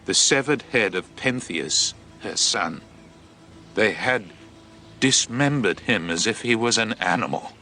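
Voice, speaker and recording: An actor playing a shaken eyewitness messenger from ancient Greece in a radio drama, telling of horror in an intense, deliberate, theatrical monologue. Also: male voice, on the low side, expressive, pushed and bright, soft background music, heavily acted. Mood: horrified, intense.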